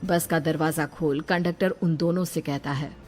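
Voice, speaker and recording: A woman's voice: low-pitched, fairly even intonation, moderate pace, darkish tone. A woman reading a scripted horror story as its narrator. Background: background music.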